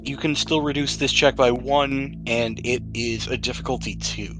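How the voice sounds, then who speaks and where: A man's voice: medium pitch, fairly even intonation, moderate pace, low-quality recording. Easygoing male game master over music. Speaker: podcast host and game master. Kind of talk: narrating a tabletop roleplaying game to players. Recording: music bed behind the voice. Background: background music.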